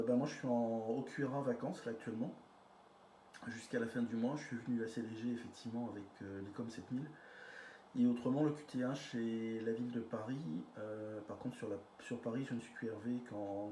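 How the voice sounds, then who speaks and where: A man's voice: medium pitch, steady tone, a little fast, dark tone. A man chatting casually as an amateur radio operator in a group exchange. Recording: roomy echo with faint noise.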